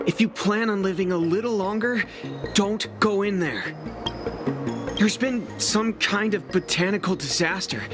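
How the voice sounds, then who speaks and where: A man voicing an anxious, frank and weary young apprentice craftsman in a video game, with a light youthful voice. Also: high voice. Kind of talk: video game character acting. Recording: loud game music behind voice. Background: game music.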